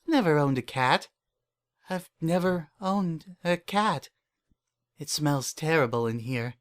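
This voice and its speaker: A man's voice: fairly high pitch, expressive, slow pace. A man acting an unhinged, obsessive narrator. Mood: dazed, confused. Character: unhinged, obsessive, paranoid male narrator clinging to his pet. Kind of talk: first-person dramatic horror fan fiction reading.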